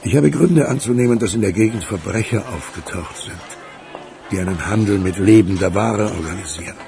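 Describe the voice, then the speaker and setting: A man acting in a German radio crime drama as a courteous, measured older businessman with a crooked past, calmly persuasive. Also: on the low side, faint music behind, lightly acted. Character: suave ageing provincial magnate, ex-crook turned capitalist, calmly persuasive.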